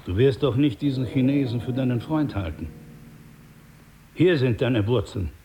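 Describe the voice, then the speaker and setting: An actor playing a paternal but menacing older crime boss in a radio drama, speaking in a measured, condescending, smooth-talking way. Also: male voice, medium pitch, lightly acted. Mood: patronising, measured.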